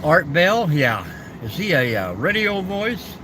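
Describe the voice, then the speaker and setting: A man's voice: fairly high pitch, big pitch swings, takes it slowly, noisy background. An older man hosting a casual live stream, answering viewer comments in an easygoing, folksy way and reminiscing about the old days.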